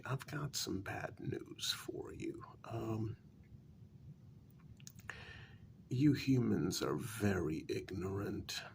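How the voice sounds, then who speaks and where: A man playing a haughty, dryly amused vampire in a soft-spoken ASMR role-play, speaking formally and condescendingly in a breathy, gravelly near-whisper. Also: on the low side, slight background noise, exaggerated voice. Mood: wry, measured. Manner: slow, deliberate, formal, condescending. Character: haughty, dryly amused male vampire, predatory yet civil.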